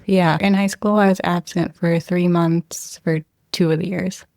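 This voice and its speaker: A young woman with a low, gravelly voice talks through her past in a soft, reflective way. Her tone is mellow and the sound is close and dry, with no room around it. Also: steady tone, a little slow, no room sound. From Israel, raised near Boston. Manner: soft-spoken, hedging with "like" and "I think". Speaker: internal medicine resident, interviewee. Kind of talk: reflective interview answers.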